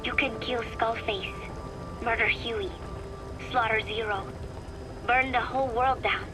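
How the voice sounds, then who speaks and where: Wistful young female spy for a game played by an actress, taped diary, soft and fairly high, clear music behind. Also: fairly even intonation, measured pace, natural voice, rough recording. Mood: bitter, sorrowful. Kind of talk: intimate dramatic video game monologue, taped diary.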